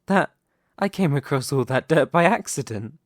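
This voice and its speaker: A man playing a possessive, scheming young mafia boss in an ASMR roleplay, speaking in a deliberate, condescending, theatrical way. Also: on the high side, lively intonation, no room sound, studio quality. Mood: smug, threatening.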